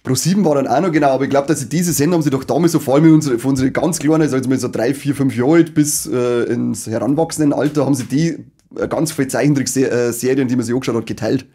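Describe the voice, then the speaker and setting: Fast-talking husky Bavarian man. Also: medium pitch, mellow. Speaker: podcast co-host. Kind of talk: casual chat with friends.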